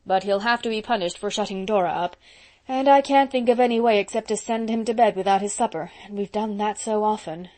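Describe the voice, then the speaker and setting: A woman reading aloud and voicing a practical older woman who speaks in a quiet, brisk, matter-of-fact way. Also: mid-range voice, lightly acted.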